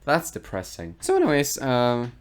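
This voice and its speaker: Sardonic YouTube commentator, lively pitch. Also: male voice, normal speed. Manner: dry, mocking, deadpan asides. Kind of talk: sarcastic commentary. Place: garage.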